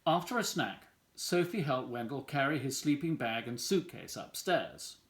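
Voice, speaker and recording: A man's voice: mid-range voice, very animated, normal speed. A man reading a children's picture book aloud as a storyteller. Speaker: volunteer story reader.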